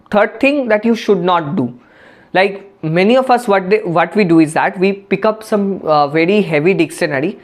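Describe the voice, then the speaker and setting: A woman with an Indian English accent, a native Bengali speaker, giving a spoken English lesson and explaining with many restarts and fillers. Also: mid-range voice. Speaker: English teacher.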